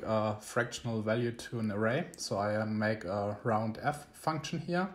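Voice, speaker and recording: A young man, not a native English speaker, giving a halting, explanatory screencast tutorial. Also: on the low side. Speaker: electronics tutorial host.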